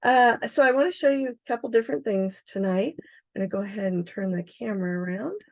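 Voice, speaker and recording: A middle-aged woman with a hoarse, froggy voice, chatting casually as she demonstrates craft supplies on a livestream, with many ums and uhs. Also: medium pitch, fairly quick. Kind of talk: casual live product demonstration.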